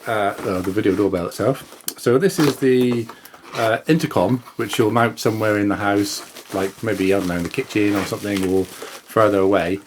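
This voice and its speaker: A man's voice: on the low side, steady tone, normal speed, a little hiss or hum. Chatty man thinking aloud. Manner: informal, chatty, thinking aloud with hesitant self-corrections. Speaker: product reviewer and video host. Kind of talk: casual unboxing and demonstration talk to camera.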